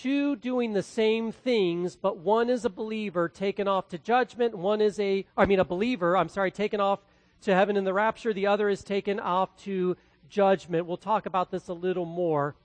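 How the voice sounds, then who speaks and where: Dry-voiced Midwestern preacher, loud then hushed. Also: male voice, sits high, fairly even intonation, moderate pace, no room sound. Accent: Midwestern American. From American Midwest. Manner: dramatic swings between loud and hushed delivery. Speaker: pastor. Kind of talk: expository sermon.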